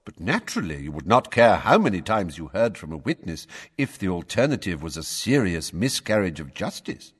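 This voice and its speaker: A man voicing an old wizard who speaks mildly, politely and in measured sentences. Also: deep voice, big pitch swings, husky, big-room sound, full character voice. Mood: calm, courteous. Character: calm, courteous old male wizard, quietly firm.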